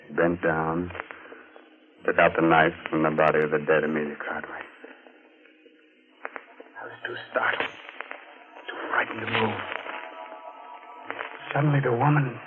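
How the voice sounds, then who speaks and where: Grave, low-voiced mystery narrator. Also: male voice. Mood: suspenseful, frightened. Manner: grave, suspenseful, deliberate storytelling. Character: shaken male narrator of a murder mystery. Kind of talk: dramatic old radio narration. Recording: noisy, tinny vintage radio recording.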